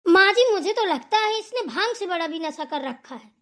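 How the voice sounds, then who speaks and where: A woman's voice: very high-pitched, expressive, moderate pace, fairly bright tone. A woman voicing a catty, indignant young daughter-in-law in a family cartoon, whining and accusing as she tattles. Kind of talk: amateur Hindi family cartoon dialogue.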